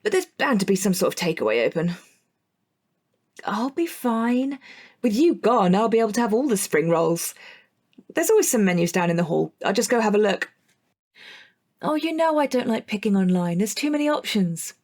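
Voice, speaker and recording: A woman's voice: mid-range voice, very animated, normal speed, pauses often, darkish tone. An actress with a British accent playing a blunt, sweary young woman in a naturalistic audio drama. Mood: wistful, grumbling. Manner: informal, exasperated, sweary. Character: Rachel, blunt, sweary young woman missing her partner.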